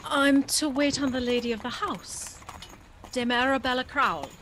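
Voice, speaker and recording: A woman's voice: high-pitched, normal speed, fairly bright tone, slight background noise. An actress playing a timid, polite young servant girl in an audio drama, earnest and anxious, with old-fashioned phrasing. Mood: polite, curious. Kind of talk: audio drama acting and storytelling.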